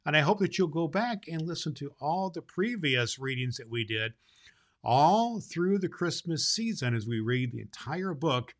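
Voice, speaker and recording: A man hosting and reading a Christmas storybook aloud to children in an expressive way. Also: on the high side, moderate pace. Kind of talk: storybook read aloud with informal host talk.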